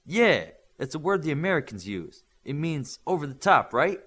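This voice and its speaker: Male reader as blunt teen hero, audiobook dialogue, boyish, excitable, pitched up. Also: moderate pace, husky, heavily acted. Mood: eager. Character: excitable, blunt teenage boy superhero.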